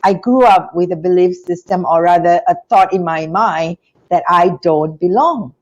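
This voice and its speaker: A woman's voice: on the low side, normal speed, dull audio. A middle-aged woman, telling her life story as a guest on a video-call interview with a lapel mic in a small room. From Singapore. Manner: animated storytelling with hand gestures. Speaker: guest, business school founder.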